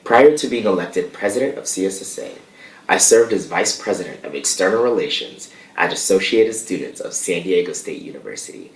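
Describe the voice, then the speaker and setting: Young male student leader, low voice, earnest and measured formal address, roomy sound. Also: expressive. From United States. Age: young adult. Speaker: student association president. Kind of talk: prepared formal speech to a board. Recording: distant, echoey remote audio.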